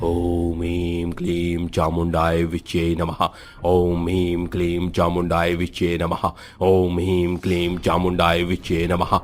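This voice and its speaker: A man's voice: sits low, monotone, takes it slowly, hardly any pauses, slight background noise, voice pushed down. A man voicing a stern, confident village holy man in a cartoon horror story, commanding and solemn. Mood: solemn chanting.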